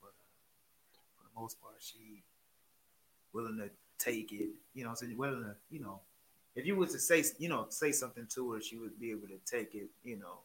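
A soft-spoken man with a smooth voice who rambles casually, filling his talk with many "you know"s. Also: mid-range voice, moderate pace, a little hiss or hum, rough recording. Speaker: guest. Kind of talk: casual group discussion.

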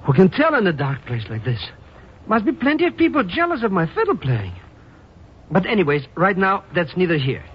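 An excitable, scheming cafe fiddler in an old radio drama. His voice leaps wildly up and down as he wheedles and puts on airs in broken grammar. The sound is muffled and hissy. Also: sits high, a little fast. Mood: nervous, grumbling. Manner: mangled grammar, wheedling, self-important. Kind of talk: 1950s radio drama acting.

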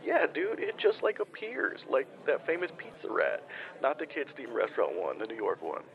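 A man's voice: medium pitch, huge pitch swings, normal speed, vocal fry. A man playing a laid-back, baffled young dude calling a hotline in an audio drama, talking like a casual slacker over a muffled line. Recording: dull, muffled phone-call sound.

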